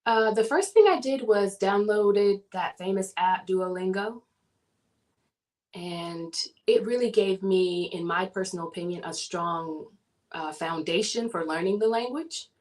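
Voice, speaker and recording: A woman from the southern United States, a guest in a casual interview, telling her story in an animated way and laughing often. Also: medium pitch, a little slow.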